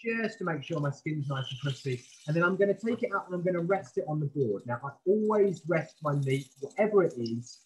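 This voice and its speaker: A male chef gives a live cooking demonstration over a video call. He explains things informally and clearly step by step, standing back from the camera in a professional kitchen. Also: on the high side, animated, a little fast, hardly any pauses, a little mellow, low-quality recording.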